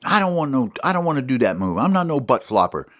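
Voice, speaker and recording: A man's voice: mid-range voice, big pitch swings, fast talker, a bit bright, voice pushed up. A man voicing a proud, stubborn young male bird critter who talks in a boastful, huffy way.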